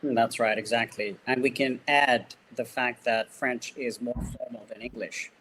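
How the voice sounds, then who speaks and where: A man's voice: mid-range voice, fairly even intonation, moderate pace, fairly bright tone, rough recording. Man interviewing, faint noise behind. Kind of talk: casual interview questioning.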